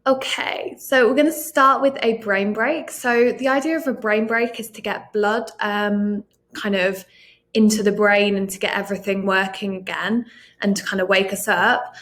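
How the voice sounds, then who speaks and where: A British woman in her thirties presents to fellow teachers in a friendly, encouraging tone. Her delivery is loose and conversational, full of "um" and "kind of". Her pitch sits in the middle range. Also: steady tone, normal speed, fairly bright tone. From United Kingdom. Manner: chatty, encouraging, many "um" and "kind of" fillers. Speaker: teacher trainer and academic coordinator. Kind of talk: recorded conference presentation.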